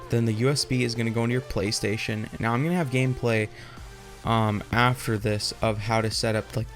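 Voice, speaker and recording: A young adult man giving an informal, off-the-cuff tech tutorial, explaining loosely and rambling as he goes. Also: medium pitch. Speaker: tutorial host.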